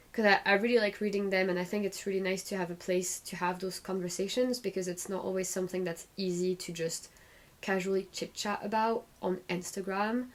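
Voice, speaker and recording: Chatty woman knitting while she talks. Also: medium pitch. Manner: rambling, self-correcting, chatty. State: slightly croaky voice. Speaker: knitting podcast host. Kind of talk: casual knit-and-chat vlog.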